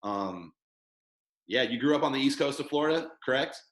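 A man hosting a relaxed podcast, rambling along with plenty of fillers and false starts. His voice sits in an ordinary middle range. The room around him rings with noticeable echo. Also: mid-range voice, normal speed. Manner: loose, rambling, with many fillers and restarts. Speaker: podcast host. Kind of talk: casual podcast chat. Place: studio headquarters room.

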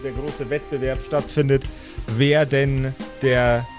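A man's voice: mid-range voice, flat delivery, slow pace, music in the background. A man narrating an improvised tabletop game as game master, with a theatrical storytelling manner.

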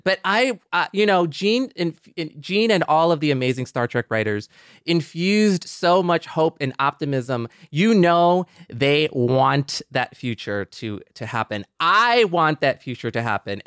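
Clear, smooth-voiced man talking earnestly. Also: a little high, lively intonation, moderate pace, a bit bright, no echo, clean studio sound. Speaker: podcast panelist. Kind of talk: casual panel discussion.

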